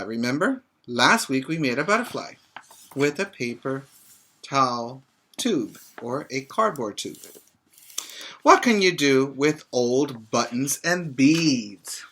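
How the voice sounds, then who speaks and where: Slow-paced, bright-voiced male preschool teacher. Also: mid-range voice, slight background noise. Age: middle-aged. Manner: slow, instructive, addressing children with check-in questions. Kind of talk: read-aloud of a children's book with casual explanations for young children.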